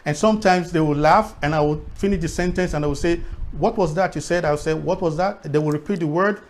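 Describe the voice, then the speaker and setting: Nigerian guy, edo speaker, kinda high voice, vlogging his thoughts and repeating words to make the point. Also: fast. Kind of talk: reflective talk to viewers.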